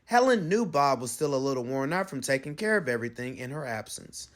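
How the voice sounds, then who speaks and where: A man reading a children's storybook aloud with expressive narration. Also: mid-range voice, brisk. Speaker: storybook reader.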